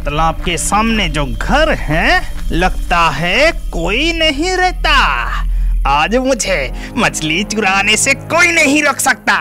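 A woman's voice: medium pitch, very animated, slow pace, pushed and bright, full character voice. A woman voicing a sly, boastful village fish thief in a children's cartoon, drawn out and scheming. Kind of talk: Hindi children's cartoon dubbing. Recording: music behind the voice.

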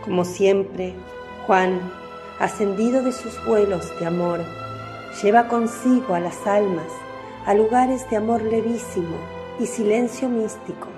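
A woman giving scripted narration for a radio drama in a solemn, measured manner. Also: medium pitch, over music.

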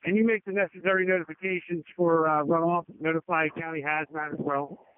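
A man's voice: a little high, fairly even intonation, fairly quick, clean tone. A man giving incident command reports over emergency two-way radio. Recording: tinny band-limited fire radio transmission.

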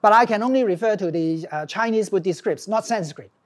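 Casting: middle-aged male lecturer, Hong Kong accent, informal academic delivery with emphatic, animated manner. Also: sits high, fairly quick. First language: Cantonese. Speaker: professor, lecturer. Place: classroom with small audience, indoors.

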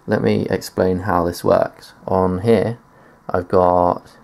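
A man's voice: low-pitched, creaky, a little mellow. A man giving a casual tech demonstration in a matter-of-fact, explanatory way, presenting gear on video indoors. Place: indoors at a desk.

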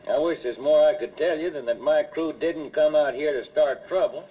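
Husky earnest railroad foreman. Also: a little high, normal speed, warm tone, low-quality recording. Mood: earnest, troubled. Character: earnest, troubled male railroad crew foreman. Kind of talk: 1950s radio western acting.

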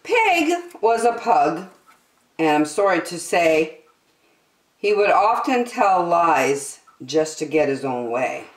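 Older lady doing story time for little kids, lowish voice, big swoopy emphasis, bit echoey. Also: far from the mic. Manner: expressive, drawn-out emphasis for children. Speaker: children's story time host. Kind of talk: read-aloud picture book for young children. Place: small decorated indoor room. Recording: roomy echo.

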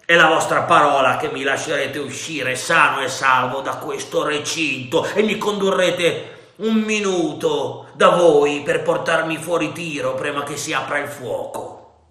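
Brief: sly, jovial pirate captain played by a male reader, high, loud, cajoling, in a storybook reading. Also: a little slow. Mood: wheedling. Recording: roomy echo.

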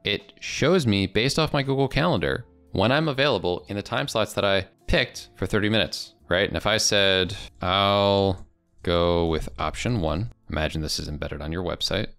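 Young american guy doing a casual software walkthrough, low clear voice, close desk mic. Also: normal speed, faint music behind. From United States. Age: 20s. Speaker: presenter of software review videos. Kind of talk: casual product demo narration. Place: small indoor home studio. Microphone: close desk microphone.

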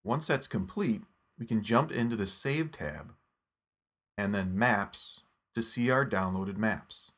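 A man narrating a scripted phone app tutorial in a steady, instructive step-by-step voice. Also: fairly low pitch, takes it slowly.

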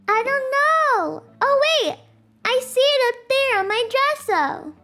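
A woman plays a little cartoon girl, needy and eager. Her voice is extremely high, and she draws her words out childishly over background music. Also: expressive, slow, exaggerated voice. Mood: whiny.

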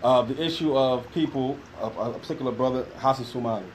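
A man asking an interview question in a small classroom, halting with fillers and restarts. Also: mid-range voice. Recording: noisy room ambience.

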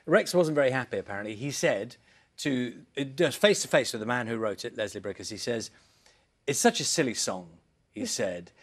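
A man's voice: medium pitch, expressive, moderate pace, breathy edge. A middle-aged British man presenting breakfast news in a chatty, rambling and wry way in a TV studio.

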